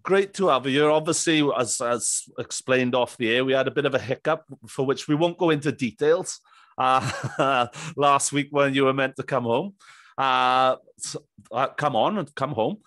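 A man's voice: mid-range voice, normal speed, a bit bright, no echo, studio quality. A man in his thirties hosting a casual interview, with a chummy, jovial manner. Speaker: podcast host. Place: small indoor room. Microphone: close boom-arm microphone with headphones.

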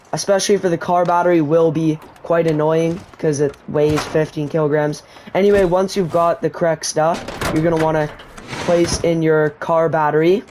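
A man's voice: fairly high pitch, darkish tone, a little hiss or hum. A man narrating a video game tutorial, explaining step by step how to use an item. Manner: explanatory, walks viewers through steps. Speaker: gaming YouTuber. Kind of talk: gameplay tutorial commentary.

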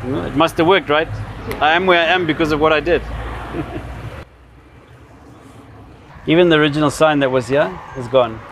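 A man's voice: on the high side, normal speed, pauses often, fairly bright tone, a little hiss or hum. A man in his fifties with a Zimbabwean accent, telling personal stories in a casual, explanatory way as a vlogger. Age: 50s. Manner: reminiscing, explanatory. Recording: music behind the voice.

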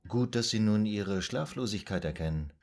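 An actor playing a calm, methodical male psychoanalyst in a radio drama, speaking in a measured, formal, probing manner. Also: lowish voice. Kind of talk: German radio drama acting.

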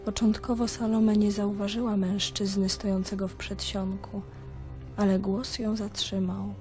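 A woman's voice: medium pitch, flat delivery, a little slow, a little mellow, faint music behind, natural voice. An actress playing a grieving young princess, solemnly chanting her own tale in the third person in a radio drama. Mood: solemn, mournful.

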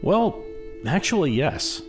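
An actor playing an eager, apologetic, hesitant historian in an audio drama. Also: male voice, fairly high pitch, big pitch swings, slow pace, loud music behind the voice. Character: eager, awkward male historian. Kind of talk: full-cast audio drama acting.

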